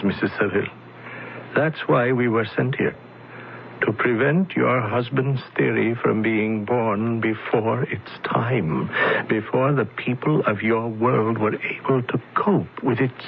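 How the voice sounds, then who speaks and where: An actor playing a calm, solemn male alien envoy in a radio drama, speaking slowly and formally. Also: mid-range voice, gravelly, slight background noise. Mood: regretful. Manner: slow, measured, formal. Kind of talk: 1970s radio drama acting. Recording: dull lo-fi old radio broadcast.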